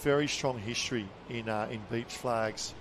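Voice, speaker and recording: Excitable male sports commentator. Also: mid-range voice, normal speed, background noise. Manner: excitable, calls the action in bursts. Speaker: play-by-play commentator.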